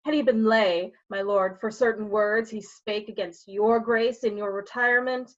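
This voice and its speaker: A woman playing a cheeky, gossiping gentleman courtier in a Shakespeare reading, glib and wry. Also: medium pitch, steady tone, normal speed, highs cut off, close to an everyday voice. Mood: scornful.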